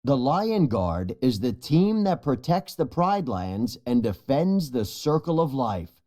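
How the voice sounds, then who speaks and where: A storybook narrator voicing an authoritative adult lion king and father in a serious, measured way. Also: mid-range voice, big pitch swings, warm tone. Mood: grave.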